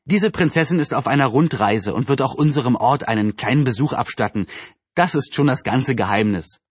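Storybook mother, read by a man: mild, patient, explanatory, slightly weary, husky edge. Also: mid-range voice, expressive, fairly quick, no room sound.

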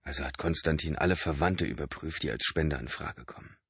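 A man's voice: very deep voice, a little fast, fairly bright tone, husky, no echo, exaggerated voice. A man voicing an exhausted adult man who pleads urgently and breathlessly with his brother. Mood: urgent pleading.

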